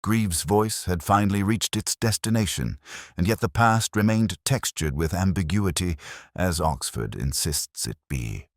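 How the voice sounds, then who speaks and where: A man narrating scripted first-person detective fiction in a measured, reflective, literary manner. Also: deep voice, no echo, studio quality.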